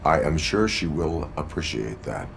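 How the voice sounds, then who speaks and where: A man's voice: very deep voice, sing-song, unhurried, creaky, fairly bright tone, a little hiss or hum, exaggerated voice. A man playing a stoic cyborg policeman in a video game, speaking in a clipped, formal, machine-like way. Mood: flat, stoic. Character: stoic cyborg policeman, dead officer resurrected in metal body.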